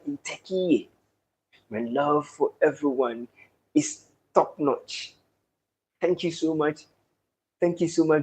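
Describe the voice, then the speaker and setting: A man's voice: fairly high pitch, darkish tone, husky, rough recording. A man with a Ghanaian accent hosting a casual football live stream, effusively thanking viewers and declaiming sports talk.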